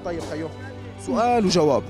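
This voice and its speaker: A man's voice: sits high, expressive, music in the background. A young man with a Syrian Arabic accent hosting a cheerful street quiz interview outdoors at a scrapyard, talking into a handheld mic. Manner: fast, cheerful, teasing.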